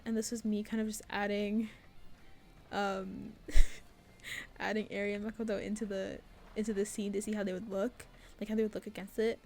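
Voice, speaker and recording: A woman's voice: on the high side, darkish tone. A young woman giving a casual, rambling voice-over about her own artwork in a self-deprecating, giggly way, peppered with "like.". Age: young adult. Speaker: artist and game maker narrating a devlog.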